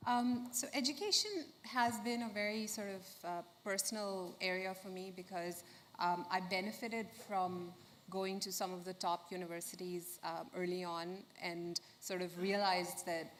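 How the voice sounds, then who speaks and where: Measured woman investor from India. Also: fairly low pitch, steady tone, fairly bright tone, a little hiss or hum. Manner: measured, with frequent "sort of". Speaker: investor, fund manager. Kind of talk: panel discussion. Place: conference hall stage.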